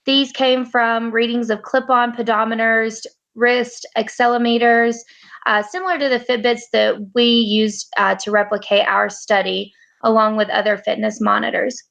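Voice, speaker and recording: A woman in her twenties giving a class presentation, reading from notes with frequent fillers and pauses. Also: fairly high pitch, level tone, vocal fry, fairly bright tone. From United States. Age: 20s. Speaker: graduate student presenter.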